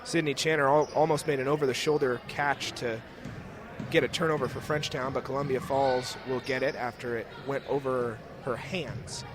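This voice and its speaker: A young American man calling high school basketball as a live radio play-by-play announcer. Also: steady tone, normal speed. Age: young adult. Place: indoor high school gym, courtside. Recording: noisy gym ambience. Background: bouncing basketballs, gym noise.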